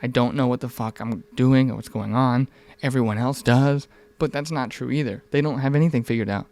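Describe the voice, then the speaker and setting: Clear-voiced young man, candid podcast talk. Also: medium pitch, fairly quick, a little mellow. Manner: informal, profane, rambling and earnest. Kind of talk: casual, candid podcast conversation.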